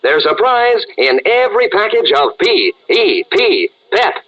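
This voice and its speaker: A man's voice: sits high, very animated, unhurried. A man giving a folksy 1940s radio advertisement aimed at children. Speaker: advertising pitchman. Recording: muffled vintage radio recording.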